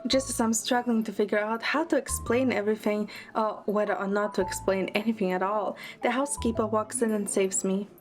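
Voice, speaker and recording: A woman playing a quick-witted young heroine, narrating an audio drama in the first person. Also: mid-range voice, fairly even intonation, soft background music, close to an everyday voice.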